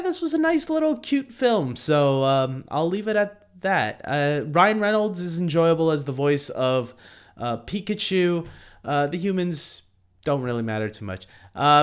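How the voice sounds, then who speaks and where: Halting, rambling man ranking films casually. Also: a little high, smooth. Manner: halting, rambling, self-deprecating. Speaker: host of a film ranking video. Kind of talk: casual unscripted list commentary to viewers.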